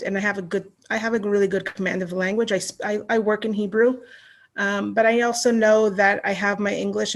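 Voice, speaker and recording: Canadian woman, flat rambling speech. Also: medium pitch. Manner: warm, rambling, many restarts and fillers. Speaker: interview guest. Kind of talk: casual online chat.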